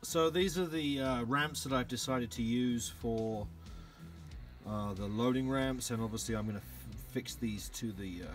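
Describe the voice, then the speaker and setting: A man narrating a DIY trailer project in a casual how-to style, relaxed and full of "uh" and "um", recorded outdoors in a yard on a camera mic. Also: mid-range voice, measured pace, faint music behind. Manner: relaxed, lots of "uh" and "um". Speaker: DIY vlogger.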